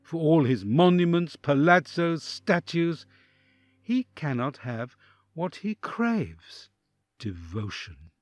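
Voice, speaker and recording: A man's voice: a little high, sing-song, slow, dry recording. A man playing a troubled, fearful and sympathetic envoy in an audio drama, delivering an intense, reflective interior monologue in theatrical style. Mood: pitying. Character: troubled male envoy, sympathetic, fearful, inwardly agonised listener.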